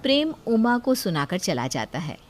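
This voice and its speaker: A woman narrates a scripted moral tale as a storyteller. Her voice sits at a middle pitch. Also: very animated, normal speed, no echo, soft background music.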